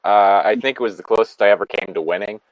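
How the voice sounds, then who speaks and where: A man's voice: fairly low pitch, fast, no room sound, lo-fi sound. A man in a casual chat, rambling along and swearing freely.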